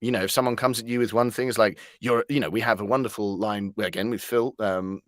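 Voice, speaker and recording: British man, lowish voice, chatting animatedly. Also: steady tone, a little fast, dry recording, clean studio sound. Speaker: voice actor being interviewed. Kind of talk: conversational interview, anecdotal.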